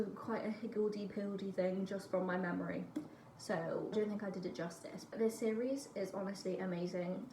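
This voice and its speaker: A woman's voice: mid-range voice, darkish tone. Young woman with a stuffy nose. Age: young adult. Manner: chatty, rambling, with fillers like "kind of". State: stuffy nose from a cold, slightly nasal. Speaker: book vlogger. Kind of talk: casual chat. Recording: roomy sound, distant mic, faint noise.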